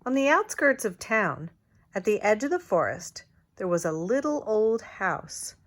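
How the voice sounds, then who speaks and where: A woman reads a storybook aloud for young children. Her pace is slow and deliberate, with an expressive storytelling manner and a mid-range pitch. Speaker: teacher reading aloud.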